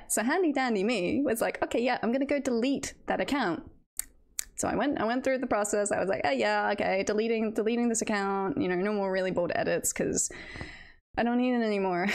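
A woman livestreamer chatting casually and telling stories in a giggly, self-deprecating, halting way. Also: a little high. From United Kingdom. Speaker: livestreamer, VTuber host. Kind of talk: casual livestream chat, storytelling.

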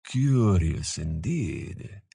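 A man voicing an ancient male dragon in a fantasy audiobook, gravelly, formal, grave and patient, with weighty, slow delivery. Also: low voice, big pitch swings, warm tone, no echo, studio quality, heavily acted. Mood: curious, grave. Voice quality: gravelly, tight with disuse.

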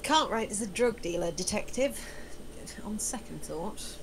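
A woman's voice: mid-range voice, expressive, measured pace, background noise. A woman playing a skeptical, exasperated character in a screenplay table read. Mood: guarded. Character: skeptical, exasperated woman pulled into a crime scheme.

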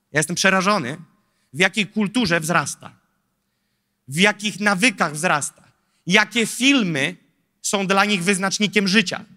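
Animated pastor preaching in a big hall. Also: male voice, sits high. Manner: animated, gesturing, rhetorical, with lively asides. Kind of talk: sermon. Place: large church stage in front of an audience. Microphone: handheld mic. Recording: long hall reverb.

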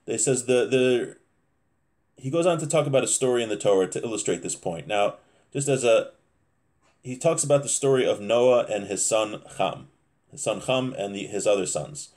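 A man's voice: mid-range voice, lively intonation, moderate pace, darkish tone. A man in his thirties giving an informal religious lesson, reading Hebrew phrases and explaining them in English, often repeating and rephrasing as he goes. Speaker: religious teacher. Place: small home room, indoors.

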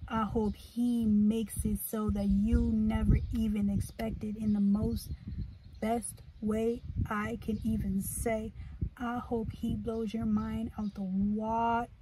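An American woman in her thirties chatting casually and reflectively in an earnest, halting way, as a vlogger in a car. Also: a little high, flat delivery, dark tone. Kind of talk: casual, reflective chit chat. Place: inside a parked car. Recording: distant mic, clear background noise.

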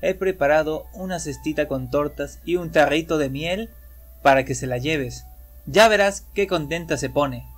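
A loving mother from a fairy tale, tender yet careful in her warnings, voiced by a man. Her tone is lifted and soft, with quiet music behind. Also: fairly high pitch, normal speed, lightly acted. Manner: gentle and warning. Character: caring, worried mother of a little girl.

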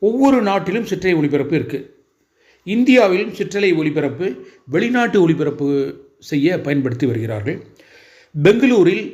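Guy, slightly high voice, hosting a radio style show, formal n deliberate, lots of little pauses. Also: a little mellow, lo-fi sound. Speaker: host of a radio hobby programme. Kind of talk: presenting an informational radio-style programme.

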